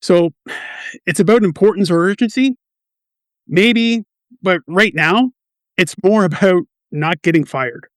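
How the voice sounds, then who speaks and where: A man's voice: high voice, takes it slowly, long pauses, no echo, studio quality. A man playing a stressed, defensive quality assurance manager in a radio play, touchy and wry. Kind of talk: amateur radio play dialogue.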